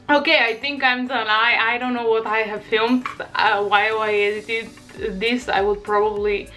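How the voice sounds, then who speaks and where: A woman's voice: on the high side, fairly even intonation, takes it slowly, pauses often, bright, forceful tone, faint music behind, poor audio. A teenage girl from Bulgaria with a Bulgarian accent, chatting to camera in her bedroom, bubbly and giggly with lots of fillers. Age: teens. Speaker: vlogger. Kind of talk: casual chat to camera. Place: small bedroom, indoors. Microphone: camera in front.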